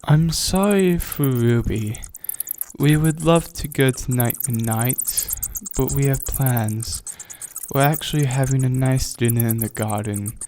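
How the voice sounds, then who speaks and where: A man voicing a gentle, courteous young male pegasus pony, calm and romantic, in a fan story reading. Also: mid-range voice, natural voice. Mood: apologetic, tender. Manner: measured, polite.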